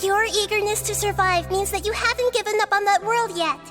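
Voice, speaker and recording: Calm and wise childlike goddess, very high and light, measured delivery, game music behind. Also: female voice. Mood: challenging. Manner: calm, measured, earnest persuasion. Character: young girl-like goddess of wisdom, calm and gentle. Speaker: game character.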